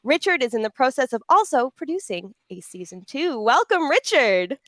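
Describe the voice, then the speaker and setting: A woman's voice: high-pitched, big pitch swings, moderate pace, a bit bright, no echo, studio quality. A woman hosting a panel, chatty and effusive, with emphatic delivery as she addresses guests and audience. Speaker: panel host and audio drama voice actor. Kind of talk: hosting a pre-recorded panel, introducing guests.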